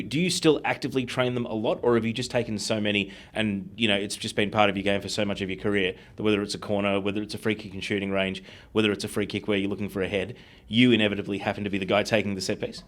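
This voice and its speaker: A man's voice: lowish voice, fairly even intonation, fast. An Australian man hosting a conversational sports interview, asking long, detailed questions. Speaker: interviewer and show host.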